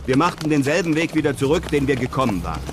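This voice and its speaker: A man playing a brave, composed European traveller who narrates his desert adventure in measured, literary sentences. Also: a little high, very animated, background noise. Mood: composed, suspenseful. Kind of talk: scripted first-person radio drama narration.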